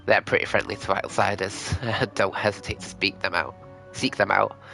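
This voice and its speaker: Man, game streamer, casual commentary, bright forceful tone, gravelly edge, music in background. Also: lowish voice, flat delivery, normal speed. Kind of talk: casual gaming livestream commentary, reading dialogue aloud. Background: video game music.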